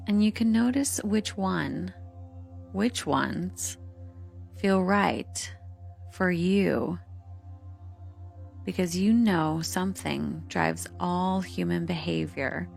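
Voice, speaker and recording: Slow, low-pitched woman guiding meditation. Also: gravelly. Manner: measured instructional phrasing with lingering pauses. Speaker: guided meditation narrator. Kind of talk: scripted guided meditation, soothing hypnotic delivery. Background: calming meditation music.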